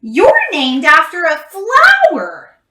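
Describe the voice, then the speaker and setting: A woman's voice: very high-pitched, wildly swooping pitch, slow pace, bright, forceful tone, pitched above the performer's own voice, exaggerated voice. A woman voicing a snooty little know-it-all schoolgirl in a storybook, pointed and condescending. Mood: smug. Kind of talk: children's storybook character voice. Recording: roomy echo, distant microphone.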